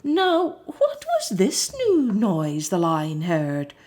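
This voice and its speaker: A woman narrating a children's story from a script in an expressive, deliberate storyteller's manner. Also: mid-range voice. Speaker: storybook reader.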